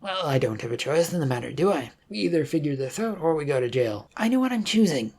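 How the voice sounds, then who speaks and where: Husky, uneasy detective suspect, acted by a narrator. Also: mid-range voice, big pitch swings, quick pace, darkish tone. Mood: impatient.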